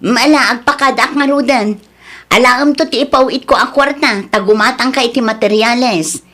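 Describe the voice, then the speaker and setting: A woman's voice: a little high, moderate pace, fairly bright tone. An actress playing a caring, hopeful young woman in a radio drama, earnest and affectionate.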